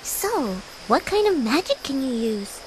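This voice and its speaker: A woman voicing a gentle, wistful young girl with magic powers in a video game, soft and wondering. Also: high-pitched, big pitch swings, a little slow, poor audio. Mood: curious, playful. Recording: background music under voice.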